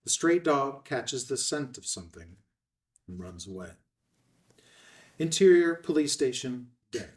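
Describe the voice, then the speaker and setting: A male narrator reads stage directions from a script. His voice is mid-pitched and sounds somewhat away from the microphone. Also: big pitch swings, a little slow, long pauses. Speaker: narrator reading screen directions. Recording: distant mic.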